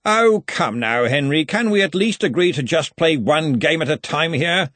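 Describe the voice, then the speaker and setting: A man voicing a hearty, self-assured senior wizard with a booming manner, in an audiobook. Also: lively intonation, fairly bright tone, dry recording. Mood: hearty, brisk. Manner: booming, brisk, pompous.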